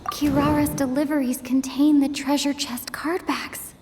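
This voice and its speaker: A woman voicing a young traveler in a video game, deliberately reasoning through clues to herself in an inner monologue. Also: sits high, flat delivery, darkish tone, a little hiss or hum. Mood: deducing. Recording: long reverb, music behind.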